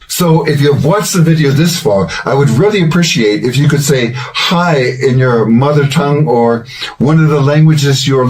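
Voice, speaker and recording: An older man hosting a language-learning channel, giving scripted advice in a measured, earnest and encouraging way. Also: medium pitch, a little mellow, low-quality recording.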